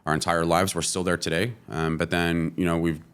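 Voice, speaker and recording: Guest, man from California; very deep voice, easygoing, loose and filler-heavy delivery. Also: a little fast, clear, resonant tone. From California, USA. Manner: relaxed, lots of "you know" fillers. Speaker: guest, entrepreneur. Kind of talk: casual interview.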